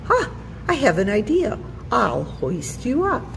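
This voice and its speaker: A woman voicing a sly, smooth-talking male fox villain in a children's storybook, with a coaxing manner. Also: on the high side, wildly swooping pitch, unhurried, a bit bright, big-room sound, slight background noise, voice pushed up, heavily acted. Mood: scheming. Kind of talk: children's storybook character reading.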